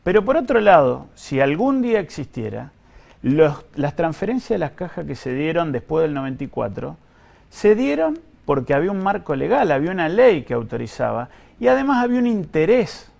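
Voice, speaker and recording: A man's voice: a little high, sing-song, fairly quick, vocal fry, darkish tone. An Argentine man answering in an interview as an official, explaining in a hesitant way and often repeating himself. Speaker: guest, pension fund director.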